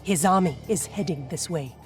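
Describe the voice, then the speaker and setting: A woman playing a calm warrior woman. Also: on the low side, normal speed, soft background music, close to an everyday voice. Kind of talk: video game cutscene acting.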